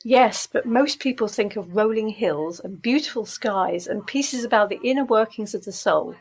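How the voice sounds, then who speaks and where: A woman's voice: mid-range voice, moderate pace, slightly rough. A blunt, practical woman in a radio drama. She talks in an average register with a wry, pushy manner, like an older hand giving advice. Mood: wry, pressing. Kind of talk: radio play acting.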